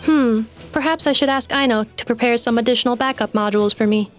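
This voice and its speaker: A woman's voice: fairly high pitch, fast, soft background music, lightly acted. An actress playing a polite, literal-minded female robot helper in a video game, precise, formal and matter-of-fact. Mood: composed, helpful.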